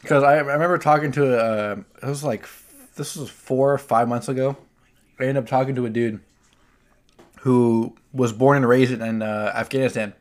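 A man's voice: medium pitch, fairly even intonation, normal speed. A man telling stories casually, in a rambling, hesitant, slangy way. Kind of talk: casual anecdotal chat.

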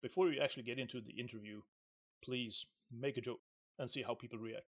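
Man from Syria, mid-pitched voice, chatty podcast co-host, rambling, frequent restarts. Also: steady tone, a little fast. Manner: rambling, restarts words, laughs easily. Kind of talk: casual podcast chat.

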